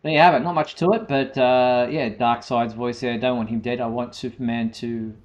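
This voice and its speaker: A man presents entertainment news as a show host. His voice is medium-pitched, and he talks conversationally with a halting delivery. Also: steady tone, muffled recording. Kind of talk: semi-scripted fan news presenting.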